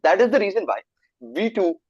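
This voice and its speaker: A young man with an Indian accent, whose first language is Hindi, teaches an online networking class. His voice sits a little high, and he often stops to check that his students follow. The sound is dry and slightly muffled, like a call mic. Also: big pitch swings, fairly quick, a little mellow, husky, no echo. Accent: Indian English. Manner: explanatory, asks students frequent check questions. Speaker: networking instructor. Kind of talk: live online class lecture.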